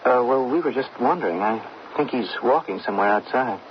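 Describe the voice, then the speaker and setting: A man's voice: medium pitch, animated, a little fast, natural voice. A young man acting an anxious, polite son in an old-time radio drama, with hesitant, stammering delivery. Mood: worried. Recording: dull, noisy old radio broadcast.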